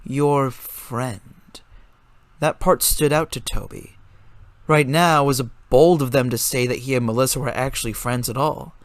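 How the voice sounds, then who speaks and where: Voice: mid-range voice, fairly even intonation, moderate pace, no room sound. A narrator giving a scripted dramatic reading of a story.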